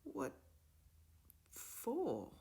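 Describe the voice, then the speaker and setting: A woman's voice: on the low side, wildly swooping pitch, slow, fairly bright tone, pitched above the performer's own voice, full character voice. A woman voicing an indignant schoolgirl who protests in disbelief. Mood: shocked, indignant. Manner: incredulous, protesting. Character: defiant schoolgirl, shocked and indignant.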